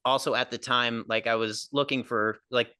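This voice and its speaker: A man's voice: mid-range voice, fairly even intonation, moderate pace, pushed and bright, clean tone, dry recording, studio quality. A young man, a stand-up comedian, talking casually on a podcast in a nasal voice, rambling with lots of fillers. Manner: rambling, filler-heavy, laughs easily.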